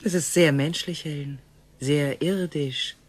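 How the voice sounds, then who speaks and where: A woman playing a grave, gentle female alien visitor in a science fiction radio play, speaking in formal, measured phrases. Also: sits low, steady tone, husky. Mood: resigned, calm.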